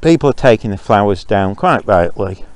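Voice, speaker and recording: A man from Zambia with a slightly low voice talks into his own podcast while out walking his dogs. He rants his opinions in a loose monologue and often stops to restart a thought with an um. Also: expressive, moderate pace. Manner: opinionated and ranting, with frequent ums and restarts. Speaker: podcast host.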